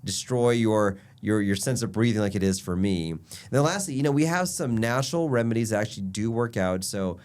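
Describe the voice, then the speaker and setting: A man's voice: fairly low pitch, lively intonation, brisk, darkish tone. A man with a stuffy, nasal voice from allergies, a doctor explaining health tips in an upbeat casual chat. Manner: upbeat, explanatory, lists points. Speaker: physician guest.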